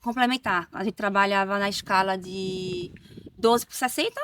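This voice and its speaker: A woman's voice: medium pitch, normal speed, fairly bright tone, recorded from a distance, a little hiss or hum. A woman from Recife with a Pernambuco accent, a nurse talking as a guest in a casual interview, chatty with tag questions and restarts. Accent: Pernambuco Brazilian Portuguese. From Recife, Northeast Brazil.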